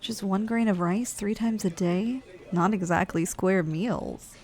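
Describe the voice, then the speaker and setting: Giantess office worker , female performer: medium pitch, weary and blunt, musing conversationally in an ASMR roleplay, lightly teasing. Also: measured pace, darkish tone, close to an everyday voice. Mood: curious, musing.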